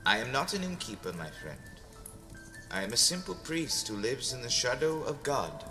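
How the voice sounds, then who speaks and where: A man voicing a kindly, devout old priest who speaks in a gentle, measured, welcoming way. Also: medium pitch, big pitch swings, big-room sound, soft background music, voice pushed up. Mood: gentle, reassuring.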